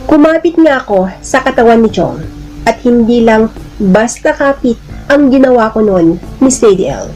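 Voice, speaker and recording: A woman narrating a dramatic first-person story in a deliberate, emotive manner. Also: a little high, expressive, darkish tone, rough recording. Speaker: narrator of a listener's letter drama. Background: music.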